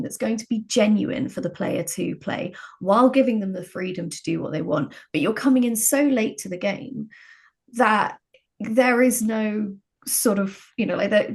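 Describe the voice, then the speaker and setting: Female podcast guest, writer type. Medium pitch, conversational, explanatory, emphatic delivery. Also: gravelly, a little mellow.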